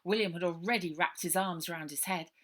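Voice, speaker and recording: Woman, author-narrator, bright crisp tone, lowish voice, expressive children's story narration. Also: brisk. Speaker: author reading own novel.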